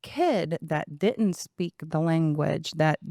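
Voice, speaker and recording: A woman in her forties from Venezuela, a Spanish speaker with a Venezuelan accent, telling her life story as a podcast guest in an animated way. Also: low voice, slow pace, creaky, no echo, clean studio sound. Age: 40s. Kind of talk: conversational interview.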